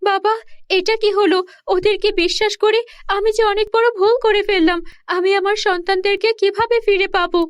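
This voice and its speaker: A woman's voice: extremely high pitch, fairly even intonation, moderate pace, no echo, clean studio sound, pitched above the performer's own voice. A woman voicing a kind young fairy queen. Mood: distressed. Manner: earnest, pleading, emotional. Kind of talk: Bengali cartoon dub.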